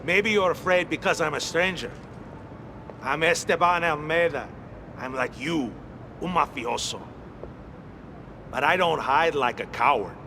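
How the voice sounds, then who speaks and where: An actor playing a proud, vengeful Cuban mafioso in a video game, taunting in Spanish-accented English. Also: male voice, on the high side, a little slow, pauses often, noisy background. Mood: taunting menace. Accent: Spanish-speaking Cuban. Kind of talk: video game cutscene acting.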